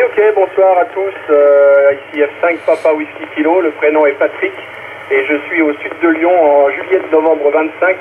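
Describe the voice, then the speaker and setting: Male ham operator, slightly high pitch, informal check-in, phone-like relay audio with faint noise. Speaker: amateur radio operator. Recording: narrowband, noisy ham radio relay.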